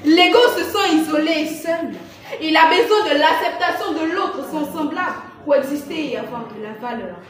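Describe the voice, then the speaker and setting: A young woman reciting slam poetry as a student contestant, in a theatrical, emphatic manner with dramatic swells and hushed drops. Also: sits high, normal speed, few pauses. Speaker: student performer in a public-speaking contest. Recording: echoey room, distant microphone.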